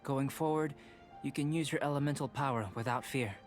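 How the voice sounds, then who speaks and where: An actor playing a calm, composed young alchemist who explains things in a measured, scholarly way. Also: male voice, mid-range voice, faint music behind, natural voice.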